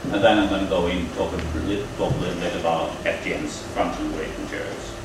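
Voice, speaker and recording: A man with a deep, low voice talks through a technical lecture in a halting, explanatory way. He is picked up from a distance in a very echoey university room. A steady air-conditioning hum runs underneath. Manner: halting, explanatory, with frequent pauses. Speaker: lecturer at a training event.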